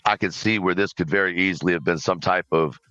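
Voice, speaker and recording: A man with a loud, very low voice explains himself on a live audio panel. His tone is forceful and bright, and the lo-fi line sounds dry and close. He keeps his delivery measured and often checks in with "right?". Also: dry recording. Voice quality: loud, deep voice. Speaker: panel participant.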